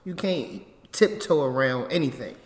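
A man's voice: medium pitch, animated, normal speed, slight background noise. A young man co-hosting a casual chat podcast, playful and jokey, who stumbles over words. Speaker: podcast co-host. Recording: echoey room with long reverb.

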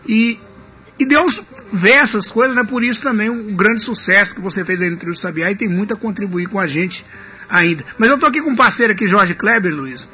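A man's voice: high-pitched, fairly quick. A man hosting a radio interview, chatty and full of tag questions. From Minas Gerais, Brazil. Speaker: radio host. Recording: dull radio broadcast.

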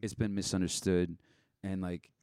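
A man's voice: sits low, little pitch movement, moderate pace, darkish tone, clear, resonant tone, dry recording, studio quality. A young man talking casually and candidly as a podcast guest, blunt and given to swearing, acting out bits of past conversations as he goes. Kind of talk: casual personal chat.

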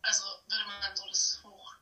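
Teen girl from germany, sharp bright voice, chatty exchange student, a bit far from mic on echoey call. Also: medium pitch, completely flat delivery, moderate pace. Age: teens. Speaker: exchange student being interviewed. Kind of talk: casual chat. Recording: echoey, distant phone or video call.

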